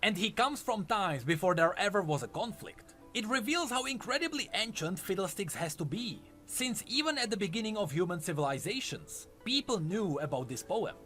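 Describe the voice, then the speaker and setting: A man's voice: high voice, sing-song, normal speed, hardly any pauses, pushed and bright, soft background music. A man delivering scripted lore narration in an explanatory, storytelling way. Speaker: video essay narrator.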